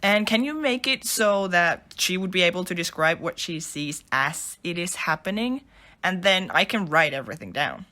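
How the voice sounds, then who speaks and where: A woman's voice: fairly low pitch, lively intonation, moderate pace, bright, forceful tone. A woman playing a chatty, welcoming, supportive journalist friend in an amateur audio drama. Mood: intrigued.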